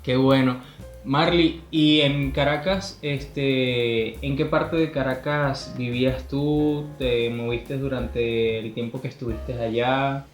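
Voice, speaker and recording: A man hosting an interview, asking questions in a slow, deliberate way. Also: medium pitch, fairly even intonation. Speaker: interviewer host. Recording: roomy echo with faint music.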